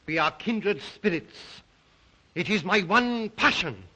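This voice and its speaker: A Russian-accented count obsessed with the hunt. The voice is high for a man and cuts brightly. He speaks with courtly, brooding deliberation in the style of old film melodrama. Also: expressive, takes it slowly, exaggerated voice. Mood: suave, eager. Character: aristocratic Russian count, suave, obsessive big game hunter. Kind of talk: 1930s film-era melodramatic acting.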